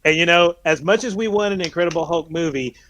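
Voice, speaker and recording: A man on a podcast panel chatting casually with opinions, halting and circling back over his phrases. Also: a little high, fairly bright tone.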